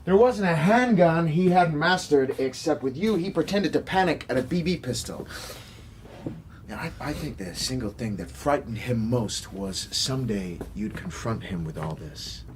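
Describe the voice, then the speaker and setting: An actor playing a cool, world-weary government agent in a radio drama, measured, dry and probing. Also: male voice, mid-range voice, expressive, noisy background, natural voice. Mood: grave, frank. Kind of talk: naturalistic radio drama acting.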